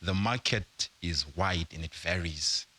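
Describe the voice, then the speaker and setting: Young adult man from Zimbabwe, interview guest; low pitch, bright tone, measured delivery. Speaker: guest entrepreneur. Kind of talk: casual interview.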